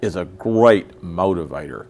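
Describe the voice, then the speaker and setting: An American man hosting a local TV interview, talking in a folksy, halting way with many restarts. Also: deep voice, animated, darkish tone, a little hiss or hum. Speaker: host.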